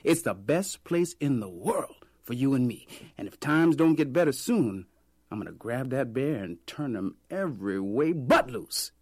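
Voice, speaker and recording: A man voicing a brash, shrewd street peddler with a loud, teasing, drawling bluster. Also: mid-range voice, expressive, unhurried, no room sound, clean studio sound, voice pushed up. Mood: boastful.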